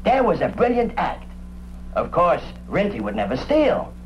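Garrulous showbiz animal agent: high-pitched, swooping, folksy and proud, muffled old radio recording. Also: male voice, very animated, a little slow, slight background noise. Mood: boastful, chatty. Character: chatty, proud male animal-act agent, showbiz enthusiast. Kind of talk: old-time radio drama acting.

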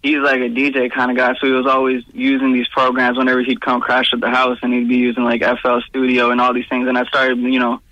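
A man's voice: mid-range voice, monotone, quick pace, few pauses, no echo, muffled recording. A young man, a guest musician, answering interview questions in a loose, casual way, filling his talk with "like" and "you know what I'm saying". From Pakistan-born, raised in Virginia and Toronto area.

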